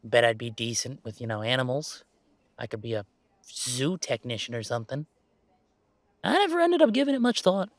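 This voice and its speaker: A man playing a laid-back, self-deprecating young gamer in a roleplay audio drama, chatting in a halting, playfully exasperated way. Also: mid-range voice, big pitch swings, dry recording. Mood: casual, reflective. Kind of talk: roleplay audio drama, casual one-sided conversation.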